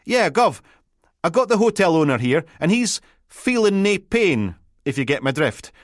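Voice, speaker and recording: A man's voice: on the high side, very animated, moderate pace, no room sound, clean studio sound, pitched above the performer's own voice. A man voicing an eager young female constable who rambles breathlessly. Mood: flustered.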